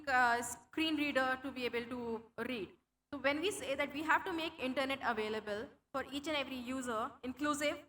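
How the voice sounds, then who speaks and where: A woman's voice: high-pitched, sharp tone, slight background noise. A young woman speaking English with an Indian accent, her first language Hindi, giving a conference tech talk with a live demo, explaining things with many fillers and restarts. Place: conference hall.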